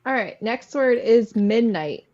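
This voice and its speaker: A woman's voice: fairly high pitch, animated, measured pace, darkish tone, highs cut off. A woman hosting a word game in casual group chat. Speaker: host running a word game.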